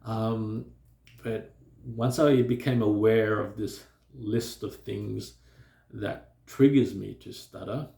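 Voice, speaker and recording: A man's voice: on the low side, steady tone, slow pace, creaky, dark tone, echoey room. A man who stutters, talking reflectively to his viewers in a hesitant way, with many fillers and restarts. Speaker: vlogger. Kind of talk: reflective personal talk to camera.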